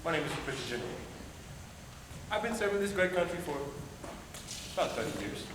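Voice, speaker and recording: A man playing a stiff veteran army general with a deep, slow and dignified delivery. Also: sing-song. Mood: gravely proud. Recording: echoey hall, far from mic, loud noise.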